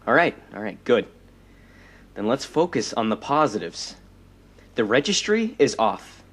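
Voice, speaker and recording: A man's voice: medium pitch, very animated, measured pace, a bit bright, slightly rough, full character voice. A man playing a defensive, loyal but awkward young superhero in an audio drama, hesitant in manner. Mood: relieved.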